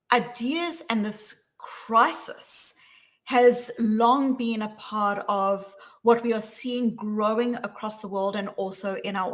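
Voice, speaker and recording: A woman with a South African accent, answering interview questions in an earnest, measured way. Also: on the high side. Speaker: guest, psychologist.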